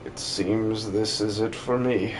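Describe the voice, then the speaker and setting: A man voicing a gentle old pastor on his deathbed in a fantasy game fan dub, speaking slowly and solemnly in halting phrases. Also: on the low side, fairly even intonation, a little hiss or hum, pitched below the performer's own voice, rough recording. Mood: weak, reassuring.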